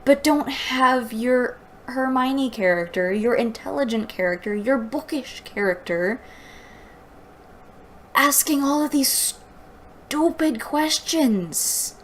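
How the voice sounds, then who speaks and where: Slow-paced woman reviewer, sarcastic and exasperated. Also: high voice, lively intonation, a little hiss or hum. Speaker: host of a book review show. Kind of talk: mocking read-aloud book review with commentary.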